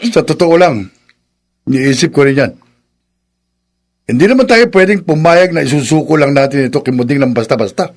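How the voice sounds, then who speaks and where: A hot-headed middle-aged husband in a Filipino radio drama. His voice sits a little high and moves widely in pitch. He is emphatic and stubborn, with a Filipino accent. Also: animated, moderate pace, long pauses. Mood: defiant.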